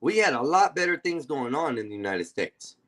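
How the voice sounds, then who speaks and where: A man's voice: medium pitch, sing-song, brisk, a bit bright. A man in his forties hosting a live-stream panel, talking bluntly and confrontationally and swearing freely. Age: 40s. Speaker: stream host.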